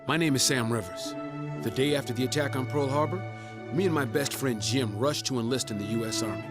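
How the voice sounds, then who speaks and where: A man playing a proud, determined young American tank soldier, narrating a war game earnestly and with measured delivery. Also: medium pitch, close to an everyday voice. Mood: proud resolve. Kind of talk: first-person scripted war game narration. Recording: loud music under narration.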